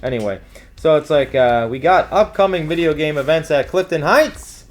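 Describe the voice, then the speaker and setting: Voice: mid-range voice, animated, normal speed. A co-host of a casual news show who rambles jokily through announcements, breaking into goofy exclamations. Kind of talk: casual comedic banter while reading event announcements.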